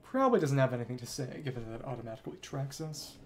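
Very low-voiced woman streaming a game. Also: very animated, very fast, a little hiss or hum. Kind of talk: reading game dialogue aloud with casual commentary. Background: soft game music.

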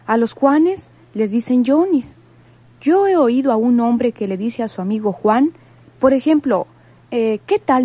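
A woman's voice: a little high, dark tone, husky, dry recording, dull audio. An actress playing a polite, serious woman in a radio soap, speaking properly and measuredly. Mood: thoughtful. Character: polite, serious hospital working woman, grieving sister. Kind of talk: Mexican radio soap acting.

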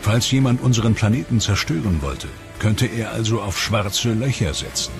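A man giving measured, scripted documentary narration in an explanatory tone. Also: fairly low pitch. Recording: voice-over with music bed. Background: clear music.

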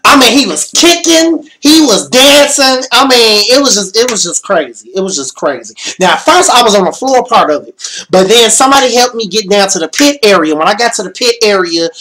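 Hyped young guy on a webcam, bit hoarse, cussing and repeating himself. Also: high-pitched, moderate pace, poor audio. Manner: animated, swears a lot, repeats himself. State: slightly hoarse. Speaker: vlogger. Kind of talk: excited concert reaction vlog. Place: small bedroom.

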